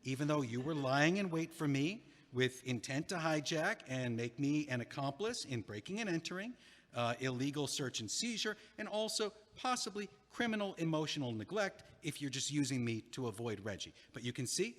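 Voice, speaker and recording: An actor playing a flustered middle-aged lawyer after a breakup, stammering, wordy and defensive, in an audio drama. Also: male voice, fairly high pitch, expressive, normal speed, fairly bright tone, long reverb tail. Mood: conciliatory. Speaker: attorney.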